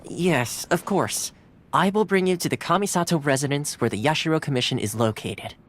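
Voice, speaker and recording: A man's voice: fairly high pitch, a little fast, fairly bright tone, slight background noise, lightly acted. A man voicing a friendly young man who speaks through a dog, teasing at first and then earnest. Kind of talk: video game dialogue. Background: game music.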